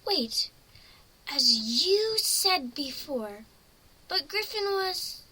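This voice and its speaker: A young female unicorn from a pony story, voiced by a man, plaintive and hesitant. The voice is very high and piercingly bright, drawn out slowly as if unsure of every word. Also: sing-song, full character voice, rough recording. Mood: confused.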